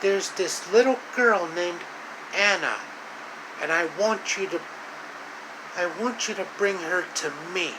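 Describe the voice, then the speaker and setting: A man's voice: high voice, unhurried, long pauses, pitched above the performer's own voice. Guy doing a bossy grumpy ice queen villain lady, storybook style, lots of hum behind it. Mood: scheming. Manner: commanding, disdainful. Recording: steady loud room hum and hiss.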